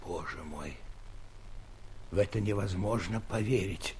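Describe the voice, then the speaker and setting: A man's voice: sits low, unhurried, darkish tone, slightly rough, full character voice. An actor playing a pompous, mocking giant king with an ironic drawl in a children's audio play. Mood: sceptical wonder.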